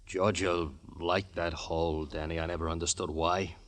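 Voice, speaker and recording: A man's voice: low voice, flat delivery, normal speed, a bit bright. An actor playing a cocky, menacing gangster with smug tough-guy talk and devotion to his mother, in an old radio crime drama. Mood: agitated.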